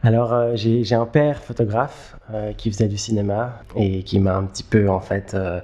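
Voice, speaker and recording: A man, giving halting, conversational interview answers and repeating words as he thinks. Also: on the low side, fairly even intonation, dark tone. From Geneva, Switzerland. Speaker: interview guest, filmmaker.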